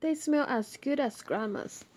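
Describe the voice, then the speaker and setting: A woman's voice: high voice, unhurried, a little mellow, voice pushed up. A woman voicing eager, polite young children in a storybook, with exclamatory delivery. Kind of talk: children's storybook read-aloud.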